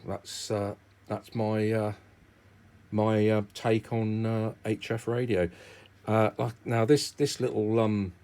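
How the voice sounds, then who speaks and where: A man's voice: low voice, mellow. A middle-aged British man giving a casual, explanatory hobby talk, rambling informally and thinking aloud as he goes. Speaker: amateur radio hobbyist presenter.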